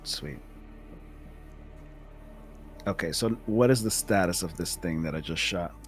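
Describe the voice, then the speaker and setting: A man's voice: sits low, measured pace. A man chatting casually as a player in a tabletop game, tentatively checking how things work. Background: music.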